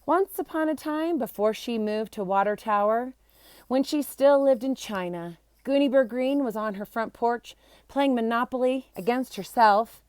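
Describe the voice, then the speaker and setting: A woman's voice: fairly high pitch, normal speed, darkish tone, smooth, no echo. A woman reading a children's book aloud, expressively acting out the characters and adding short explanatory asides. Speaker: storybook reader.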